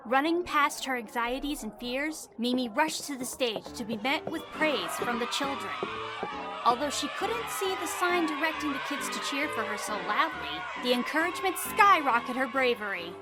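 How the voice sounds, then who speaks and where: A woman reading scripted storybook narration for an audio drama in an expressive, dramatic storytelling manner. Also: high voice, normal speed, rough recording. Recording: music behind the voice.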